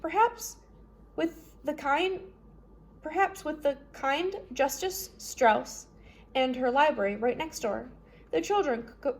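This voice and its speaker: A woman's voice: high voice, takes it slowly. A woman narrating a children's novel as a read-aloud storyteller.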